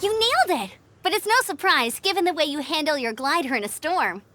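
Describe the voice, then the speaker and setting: A woman's voice: sits high, animated, brisk, pushed and bright, a little hiss or hum. A woman voicing a perky, eager young female gliding instructor in a video game, bubbly and encouraging. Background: game music.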